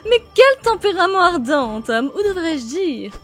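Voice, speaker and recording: A woman voicing a brisk, biting demon television news anchor in a cartoon dub. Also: very high voice, very animated, full character voice. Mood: brisk, catty.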